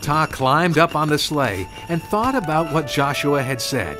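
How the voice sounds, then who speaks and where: A man's voice: fairly high pitch, animated, a little slow. A man reading a scripted children's storybook narration. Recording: music behind the voice. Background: background music.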